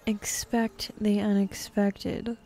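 A young woman voicing a bossy, teasing demon woman in an intimate, half-whispered ASMR roleplay, with a husky, breathy voice and a sardonic drawl. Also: mid-range voice, little pitch movement, slow, dark tone, faint music behind, lightly acted. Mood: coaxing, playful.

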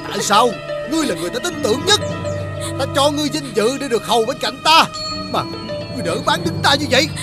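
An actor with a Southern Vietnamese accent playing a haughty, blustering marquis in a fairy-tale costume film, theatrical and halting. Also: male voice, extremely high pitch, lively intonation, loud music behind the voice. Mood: furious, betrayed. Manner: theatrical, halting with drawn-out hesitations. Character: haughty, blustering male nobleman marquis, sore loser.

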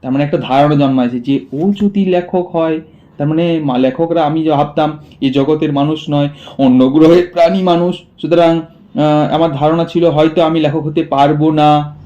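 Male teacher, slightly high voice, explains a story line by line with rhetorical questions. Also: fairly even intonation, moderate pace, mellow, muffled recording. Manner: asks rhetorical questions and answers them; repeats and paraphrases lines while explaining. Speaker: school literature teacher. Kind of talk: explanatory story lesson for students.

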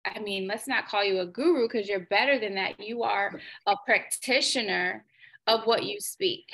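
A woman hosting a podcast interview, effusive and gushing, quick to laugh. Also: medium pitch, a bit bright. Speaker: podcast host. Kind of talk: casual interview chat.

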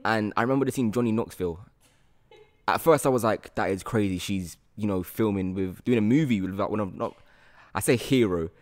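A man's voice: lowish voice, a little fast, darkish tone. A young man with a British accent hosting a chatty interview, playfully repeating himself for emphasis. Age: young adult. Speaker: interview host. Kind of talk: casual interview chat. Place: bright loft-style room, indoors. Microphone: handheld microphone.

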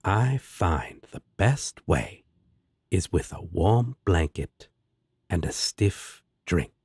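A man's voice: low voice, lively intonation, takes it slowly, a little mellow, breathy edge, no room sound, studio quality, heavily acted. A man playing a sly, laid-back demon roommate in an audio drama, teasing and sardonic with a coaxing drawl. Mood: amused, laid-back. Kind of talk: roleplay audio drama acting.

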